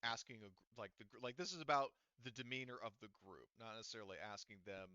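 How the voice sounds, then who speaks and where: A man's voice: mid-range voice, pushed and bright, clean tone, no room sound, muffled recording. A man running a tabletop game as game master, talking casually with lots of restarts and fillers. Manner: halting, many restarts and fillers.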